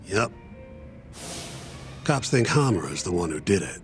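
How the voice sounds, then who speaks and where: A man acting as a brisk, pragmatic, unsentimental defense lawyer in a video game, speaking bluntly and colloquially. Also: low-pitched, big pitch swings, faint music behind. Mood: matter-of-fact. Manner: blunt, colloquial, matter-of-fact. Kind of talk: English game dub dialogue.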